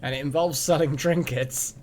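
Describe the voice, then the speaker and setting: A man's voice: on the high side, fairly even intonation, normal speed, a little mellow. A man hosting a live game stream, chatting casually and rambling as he thinks aloud. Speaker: game livestream host. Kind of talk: casual live gaming chat.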